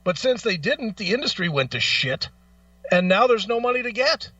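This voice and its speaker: A man's voice: sits high, very animated, moderate pace, bright, forceful tone, no echo, recorded from a distance, muffled recording. A man hosting a talk show, speaking casually and bluntly with opinions, swearing freely and sounding exasperated and emphatic.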